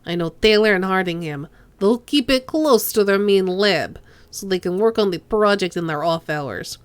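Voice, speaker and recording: Give me a measured corporate agent, played by a woman, explaining plans calmly. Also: medium pitch, clean tone, no echo, voice pushed down, close to an everyday voice. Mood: businesslike.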